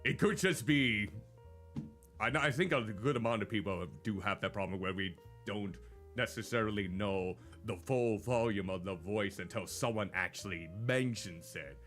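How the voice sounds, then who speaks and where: A man co-hosting a live stream in casual chat, with a naturally loud voice and a rambling, self-deprecating way of talking. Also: fairly low pitch, normal speed, faint music behind.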